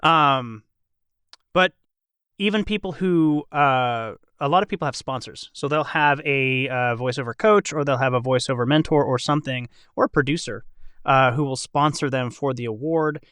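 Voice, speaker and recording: A man's voice: medium pitch, moderate pace, a bit bright, clear, resonant tone, no echo, clean studio sound. A man hosting a casual podcast panel, talking in a rambling way and qualifying himself as he goes. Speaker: podcast host and voice actor.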